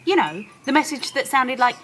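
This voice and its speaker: A woman's voice: high-pitched, big pitch swings, normal speed, a bit bright, a little hiss or hum. A woman playing an eager, bossy helper in a children's audio play, giving listeners chirpy step-by-step instructions. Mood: eager, welcoming. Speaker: co-presenter of a participatory audio play.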